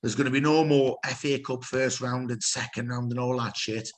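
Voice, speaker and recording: A man hosting a loose chat among friends. His voice sits at an ordinary middle pitch. He rambles, restarts and swears freely, laughing between thoughts. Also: fairly even intonation, moderate pace. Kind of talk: casual chat among fans.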